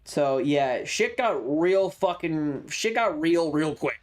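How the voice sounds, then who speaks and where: Streamer telling a story, super casual n sweary, emphatic, medium pitch, music going behind. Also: animated, takes it slowly.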